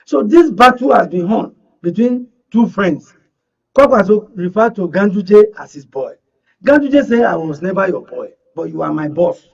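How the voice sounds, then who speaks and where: A man's voice: high-pitched, vocal fry, slightly rough, far from the mic, highs cut off. A man with a Nigerian accent debating politics as a studio guest, emphatic and rhetorical, often repeating phrases to press his point.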